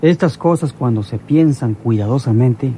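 A man's voice: mid-range voice, normal speed, dark tone, close to an everyday voice. An actor playing a loyal, deferential henchman and driver in a radio drama. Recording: noisy old radio drama recording.

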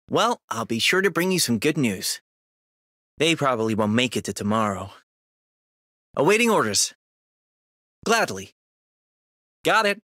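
A man voicing a courteous, earnest young cat-like mage operative in a video game, speaking formally and with measured care. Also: medium pitch, fairly bright tone, dry recording, clean studio sound. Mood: dutiful, earnest. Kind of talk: English dub game voice lines.

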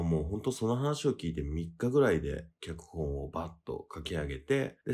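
A man's voice: low voice, darkish tone. A man, a radio show host, talking in a chatty hosting style.

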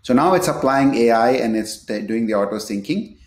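A man walks through a software demo step by step. His voice sits at a middle pitch, and he talks a bit haltingly. The room around him is clearly echoey. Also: lively intonation. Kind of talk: screen-share product tutorial, partly unscripted.

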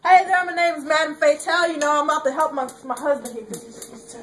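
A young woman hosts a joking cooking session in a home kitchen. She is loud and animated, high-pitched, and throws in churchy exclamations. The phone recording is noisy. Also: a little fast, roomy sound. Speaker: host of a comedic home cooking video. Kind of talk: playful sketch banter. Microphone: phone camera held nearby.

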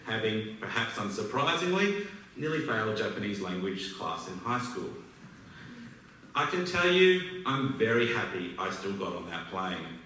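A man in his thirties with an Australian accent, giving a prepared, measured speech at a podium in a large auditorium. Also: mid-range voice, sharp tone, far from the mic. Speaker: alumni speaker. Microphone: podium mic, filmed from far back in the hall. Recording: echoey hall recorded from afar.

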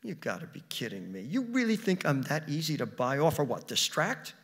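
A man reading a story, voicing a demanding, sharp-tongued wife with an emphatic, incredulous manner. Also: fairly high pitch, huge pitch swings, brisk, husky, exaggerated voice. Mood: exasperated. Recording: reverberant hall with long echo.

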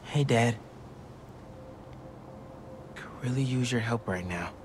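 A man's voice: medium pitch, slow, heavy background noise, natural voice. A man playing an earnest, burdened young superhero in a naturalistic video game drama, subdued. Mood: sad, confiding. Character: earnest, burdened teenage male superhero, grieving son.

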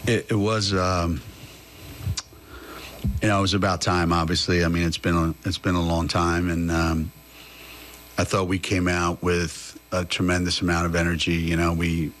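A man in his sixties, a head coach answering at a press conference, halting with many fillers and restarts into a table mic. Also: low-pitched, little pitch movement, fairly bright tone, a little hiss or hum.

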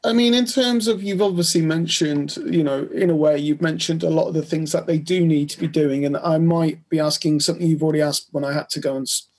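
A man's voice: a little high, fairly even intonation, a little fast, hardly any pauses, darkish tone. Rambling man co-hosting a podcast. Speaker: podcast co-host. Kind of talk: casual interview questioning. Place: room indoors, video call.

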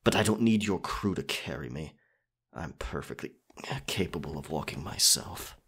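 A man as a husky, low-voiced pirate captain. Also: animated, a bit bright, full character voice. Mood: wry, bargaining. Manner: wry, halting, theatrical pirate talk. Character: captured male pirate captain, defiant, roguish and flirtatious. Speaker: audio roleplay performer. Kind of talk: ASMR roleplay acting, one-sided dialogue.

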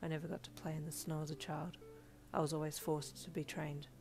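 Woman as a quiet, stoic teenage boy, low-pitched. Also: normal speed, gravelly, music in the background. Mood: half-sad. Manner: calm, quiet, flat.

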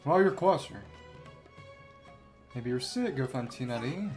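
A man streams a game with casual, off-the-cuff chat. His pitch is middling but moves around a lot, lively and varied, with music playing behind him. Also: measured pace. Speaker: game livestreamer. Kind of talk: casual livestream gameplay chat and reading along.

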